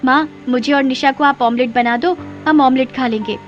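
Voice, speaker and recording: A woman's voice: high voice, steady tone, normal speed, lo-fi sound, faint music behind, lightly acted. A woman voicing a sweet, encouraging child in a cartoon story. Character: sweet, sensible child praising mother's cooking. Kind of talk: Hindi moral-story cartoon voice acting.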